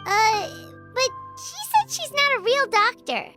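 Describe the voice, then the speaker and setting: A woman voicing a tiny, excitable childlike companion girl in a video game, eager and naive. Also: very high-pitched, a little slow, soft background music, heavily acted. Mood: questioning.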